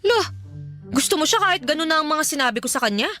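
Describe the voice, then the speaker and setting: Brash young Filipino woman best friend, high-pitched and very animated, for radio drama. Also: fast, soft background music. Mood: teasing, scheming. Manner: sassy, exclamatory, mock-exasperated. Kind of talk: Tagalog radio drama acting.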